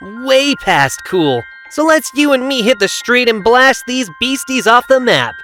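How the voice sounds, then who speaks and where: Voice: mid-range voice, expressive, measured pace, pushed and bright, soft background music. An actor playing a cocky, carefree young male hedgehog hero with a breezy, boastful manner in a fan audio drama. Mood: upbeat.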